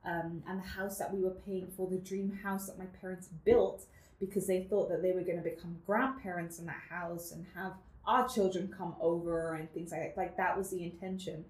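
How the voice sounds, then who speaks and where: A woman's voice: lowish voice, steady tone, brisk, darkish tone, roomy sound, low-quality recording. A woman from the Netherlands in her twenties, a guest telling her life story in a casual interview, reflective, laughing often and using many fillers.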